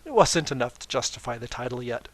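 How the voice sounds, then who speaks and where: A man's voice: medium pitch, animated, quick pace. A man reading fan fiction as dramatic narration, with wry, informal asides.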